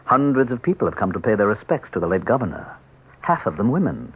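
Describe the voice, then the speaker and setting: An actor playing the Devil posing as a suave political aide in a radio mystery drama, taunting and insinuating with cool control. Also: male voice, fairly low pitch, very animated, fast, slightly rough, heavily acted. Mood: smooth, innocent. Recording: muffled lo-fi vintage radio broadcast.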